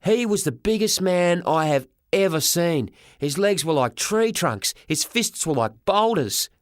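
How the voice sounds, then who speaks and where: A man narrating a children's story in the first person as an expressive audiobook reader. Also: high voice, unhurried, dry recording, studio quality.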